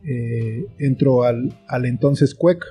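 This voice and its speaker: A man with a Mexican accent and a very mellow, dark voice at a middle pitch. He talks as an interview guest in a casual, reflective, explanatory way, over faint music. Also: takes it slowly. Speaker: interview guest, writer and filmmaker.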